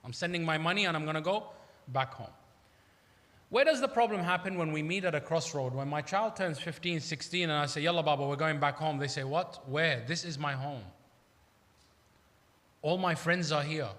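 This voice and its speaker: Bright-voiced male preacher in an echoey hall. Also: on the high side, normal speed. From Iraq. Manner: conversational, emphatic, uses rhetorical questions. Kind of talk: sermon. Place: large hall at an Islamic centre. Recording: echoey hall with long reverb.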